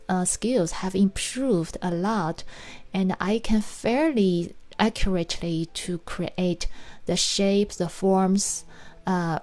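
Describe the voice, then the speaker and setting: A woman from China with a Chinese accent, gently narrating a watercolor painting tutorial in unhurried explanations. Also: medium pitch. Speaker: watercolor art teacher and farm owner.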